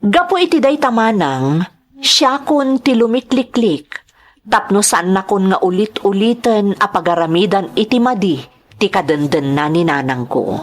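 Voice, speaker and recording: A woman playing a wronged daughter, narrating her life story in a measured, confessional way for an audio drama. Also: medium pitch, animated. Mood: sorrowful, reflective. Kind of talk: first-person scripted drama narration.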